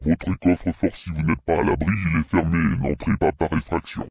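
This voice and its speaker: Deep, gravelly text-to-speech male alert voice; dry, muffled recording. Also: steady tone, normal speed, no echo. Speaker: emergency alert announcer. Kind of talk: synthetic alert announcement. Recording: dull lo-fi dry audio.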